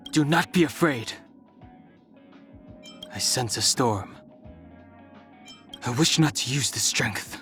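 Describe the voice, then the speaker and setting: A man voicing a stoic, reserved young dragon-descended warrior in a video game, calm, formal and solemn, burdened by his past. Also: mid-range voice. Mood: intense, commanding. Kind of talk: video game character voice lines.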